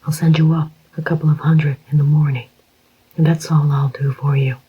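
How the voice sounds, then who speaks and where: A reader whispering the part of a calm, scheming male club owner in a slow, even voice. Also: on the high side, slightly rough. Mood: curt. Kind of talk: whispered audiobook reading. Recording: dull lo-fi recording.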